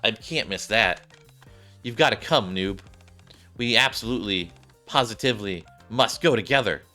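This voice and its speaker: A brash young gamer girl from a video game, read out by a man, with a bright, forceful tone. The delivery is teasing, excitable and opinionated, over background music. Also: on the low side, normal speed. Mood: excited, emphatic.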